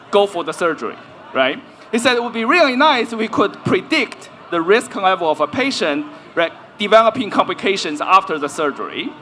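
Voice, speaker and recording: Bright-voiced man explaining on a panel. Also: high voice, a little hiss or hum. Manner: explanatory, peppers talk with "right?". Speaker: panelist, AI in health researcher. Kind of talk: live panel discussion. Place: stage at a festival panel.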